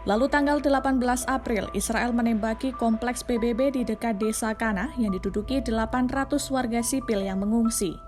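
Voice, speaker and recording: A woman with an Indonesian accent reads a scripted news explainer in a formal, measured manner. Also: fairly high pitch. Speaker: news narrator. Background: background music.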